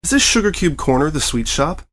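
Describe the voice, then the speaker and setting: Man acting out an excitable, anxious reporter pony. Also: a little high, a little fast, voice pushed up. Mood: awkward, rambling. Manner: nervous rambling, eager politeness. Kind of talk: storybook character voice.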